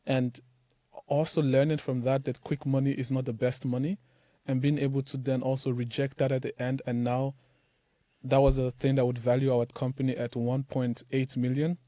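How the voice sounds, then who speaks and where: A man's voice: medium pitch, little pitch movement, moderate pace, dark tone, no room sound. A young man from Nigeria, a startup founder talking loosely in an interview, full of fillers like "like" and "man". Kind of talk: casual interview talk.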